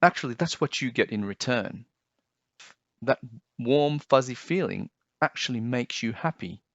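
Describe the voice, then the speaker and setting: Gentle guy reading a kids book, talks slow and simple, dry close mic, kinda dull recording. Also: medium pitch, animated, creaky, dry recording. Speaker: children's book reader. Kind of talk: reading a picture book aloud and chatting to children.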